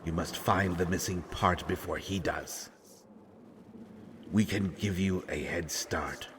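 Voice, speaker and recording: Audio drama role: broken otherworldly "we" entity, male actor, deep register, very unhurried, cryptic and halting. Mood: grave, instructing. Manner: halting, cryptic, formal phrasing in short fragments. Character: eerie broken supernatural being speaking as a plural "we". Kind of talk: scripted audio roleplay acting. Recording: noticeable background noise.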